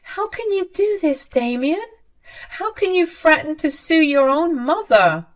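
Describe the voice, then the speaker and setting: A shrill, desperate older mother begs and wails for forgiveness, her voice pitched up high and pushed loud. A woman narrator puts her on for a storybook. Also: expressive, measured pace. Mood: pleading.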